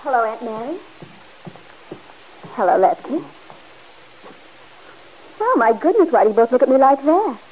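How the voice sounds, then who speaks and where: A woman's voice: sits high, very animated, measured pace, long pauses, darkish tone, full character voice. An actress playing a headstrong young woman about to marry, insistent and defensive, in a 1940s radio drama. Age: young adult. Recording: dull old radio recording.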